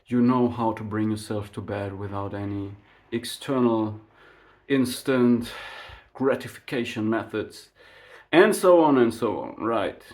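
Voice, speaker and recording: Male spiritual vlogger whose first language is German. Unhurried, musing delivery with lots of fillers and "right?" tags. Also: lowish voice, darkish tone. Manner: slow and reflective, with frequent fillers and rhetorical "right?". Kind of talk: unscripted spiritual monologue to camera.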